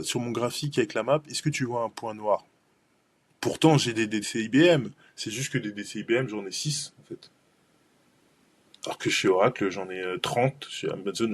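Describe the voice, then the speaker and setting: A man's voice: mid-range voice, breathy edge. A man presenting a cloud benchmarking webinar in a casual, rambling way, full of fillers and restarts.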